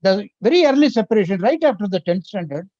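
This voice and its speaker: An older speaker with an Indian accent, whose first language is Tamil, lectures over a video call in an earnest, explanatory way. The voice is mellow and swings widely in pitch. The audio is dry and a little muffled. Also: big pitch swings, fast talker, dry recording. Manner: earnest, explanatory, lists points one by one. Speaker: invited speaker on education policy. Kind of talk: webinar lecture.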